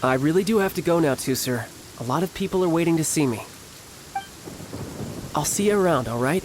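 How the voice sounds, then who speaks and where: An actor playing a smooth, playful young harbinger in a video game, breezy, polite and theatrical. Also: male voice, on the high side, animated, fairly quick, noisy background, lightly acted. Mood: gentle, reassuring. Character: smooth, playful young male harbinger posing as toy seller.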